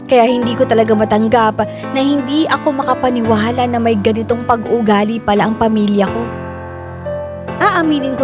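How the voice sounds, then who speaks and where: Female narrator played by an actress for radio drama: earnest, indignant first-person storytelling over a clear music bed. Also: medium pitch, close to an everyday voice.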